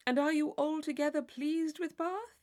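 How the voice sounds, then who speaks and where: A woman voicing a witty, teasing gentleman in his mid-twenties, fluent and mock-formal, in a classic novel reading. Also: high voice, steady tone, normal speed, pitched above the performer's own voice, lightly acted. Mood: simpering, affected. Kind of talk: classic novel audiobook character voice.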